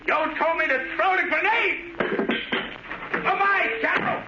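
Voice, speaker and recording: An actor playing an unhinged, obedient young war-veteran sniper in a 1930s radio drama, simple-minded, slangy and fixated. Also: male voice, very high-pitched, unhurried, pushed and bright, heavily acted. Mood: frantic, defiant. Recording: muffled, noisy 1930s radio recording.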